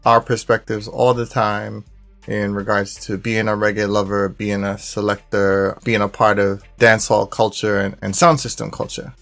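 A man's voice: fairly low pitch, level tone, normal speed, gravelly, fairly bright tone, soft background music. A man from Guyana hosting a podcast, who talks in an easygoing way and keeps dropping "you know what I'm saying.". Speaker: podcast host. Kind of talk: casual conversational interview.

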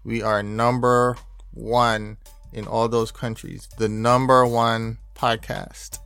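A man hosting a podcast, upbeat and promotional, giving listener shout-outs. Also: medium pitch, flat delivery, slow pace, gravelly, smooth, no echo, faint music behind. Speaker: podcast host. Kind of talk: casual podcast talk.

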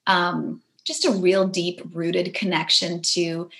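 A young adult woman from Poland, a herbalist and green witch, talking reflectively as an interview guest, soft-spoken and often restarting her phrases. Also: lowish voice, level tone, slow pace, gravelly.

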